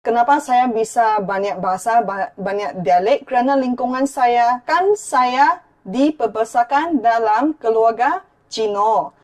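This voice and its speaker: Malaysian-accented young woman, higher voice. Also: unhurried. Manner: earnest, code-switching, often restarts words. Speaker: YouTuber. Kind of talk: vlog commentary.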